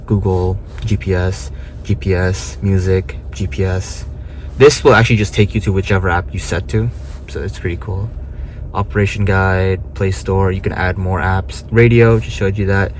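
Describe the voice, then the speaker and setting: A man's voice: lowish voice, a little mellow. A man giving a casual, laid-back, unscripted product demo in short trailing phrases, recorded inside a car. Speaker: product reviewer demonstrating a car head unit. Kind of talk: casual hands-on demo chat. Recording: noisy car interior, vehicle ambience.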